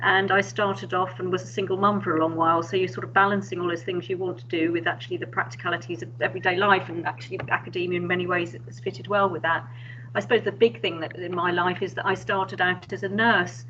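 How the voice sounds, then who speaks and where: A woman's voice: on the low side, steady tone, quick pace, lo-fi sound, background noise. A middle-aged woman speaking as a panelist, thoughtful and candid, talking fluently about her career. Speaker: panelist, nursing academic. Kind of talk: panel discussion on video call.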